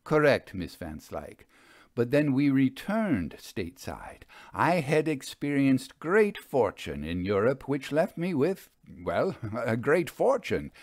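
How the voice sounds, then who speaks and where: A man's voice: mid-range voice, dry recording, studio quality. A man playing a proud stage magician in a storybook, speaking in florid, formal phrases with coy hesitations. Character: famous male stage magician, proud, theatrical, evasively apologetic. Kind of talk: dramatized audiobook storytelling.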